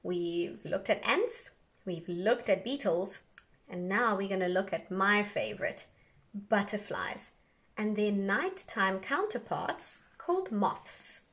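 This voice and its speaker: A woman teacher reading an educational book aloud for young children in a measured, instructional way, enunciating carefully. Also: medium pitch, lively intonation, fairly bright tone.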